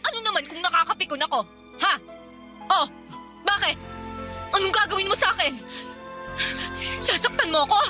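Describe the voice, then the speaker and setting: A woman's voice: extremely high pitch, expressive, moderate pace, long pauses, music in the background. A woman with a Filipino accent playing an angry, suspicious young mother nagging her partner in a radio drama. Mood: defiant, goading. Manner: confrontational, accusing, nagging. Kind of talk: heated radio drama dialogue.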